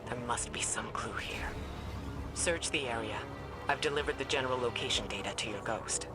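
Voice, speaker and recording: An actress playing a composed, scholarly female spymaster in a video game, speaking in a measured, deliberate, grave way. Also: a bass voice. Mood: measured, cautious. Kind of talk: scripted video game dialogue. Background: background music.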